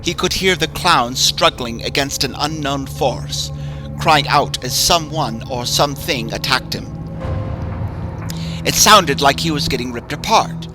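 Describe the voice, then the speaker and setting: A man narrating a horror story in a measured, ominous way, over background music. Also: mid-range voice, low-quality recording. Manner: slow, measured, ominous delivery. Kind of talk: dramatic horror story reading. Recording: music bed under voice.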